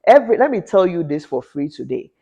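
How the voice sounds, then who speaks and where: A woman's voice: very animated, fast talker, mellow, muffled recording. A woman from Ghana, a fashion designer, giving an impassioned commentary vlog that often rises into a rant. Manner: impassioned, ranting, rising in pitch when indignant. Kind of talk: talking-head commentary vlog.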